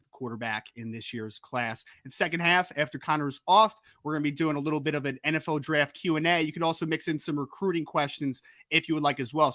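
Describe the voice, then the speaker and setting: A man hosting a live-streamed sports podcast, talking in an energetic, chatty way with quick restarts. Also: fairly high pitch, no echo, studio quality. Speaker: podcast host.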